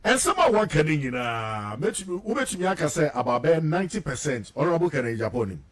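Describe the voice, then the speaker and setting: A man's voice: fairly high pitch, sing-song, fast talker. A Ghanaian man hosting a radio talk show, speaking conversationally and switching between two languages.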